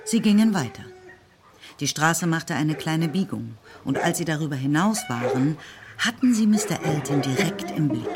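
A woman giving scripted literary narration in a measured storytelling delivery. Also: deep voice, animated, noisy background. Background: music.

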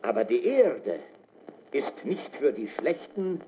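A man's voice: medium pitch, very animated, slow pace, dull audio. A man playing a stern officer giving a declamatory, solemn propaganda speech on a noisy old 1936 gramophone recruitment record. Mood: stern, exhorting.

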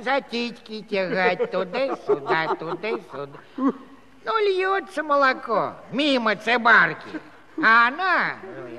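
An actor playing a comic old Cossack grandfather who stammers and rambles in a folksy way through his storytelling. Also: heavily acted. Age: older. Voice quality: high-pitched, stammering. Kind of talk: comic musical radio-play acting. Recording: reverberant hall-like studio recording.

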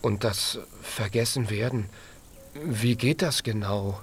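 A man's voice: fairly low pitch, slow, slight background noise. A man playing a gloomy, anxious little piglet in a children's radio play, speaking in a hesitant, earnest, childlike way. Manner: hesitant, downcast questioning.

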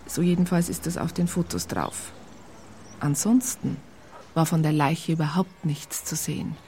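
A Bavarian storyteller in a radio crime play. Her voice sits a little low, and she recounts things colloquially and drily, taking her time. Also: dark tone, a little hiss or hum. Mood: dry storytelling. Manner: wry, colloquial, unhurried. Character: wry female storyteller recounting police case files. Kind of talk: radio drama narration.

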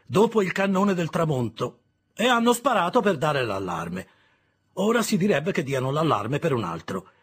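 A man voicing a gentle, plain-spoken workman explaining news to a boy. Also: on the high side, wildly swooping pitch, fairly quick, hardly any pauses, slightly rough, voice pushed up, heavily acted.

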